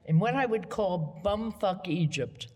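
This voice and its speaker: A woman's voice: medium pitch, animated, unhurried, darkish tone. Older american lady, dry teasing wit, voice trailing off into a long echo. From United States Midwest. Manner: wry, teasing, blunt. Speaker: guest. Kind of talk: live onstage conversation. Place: outdoor terrace event.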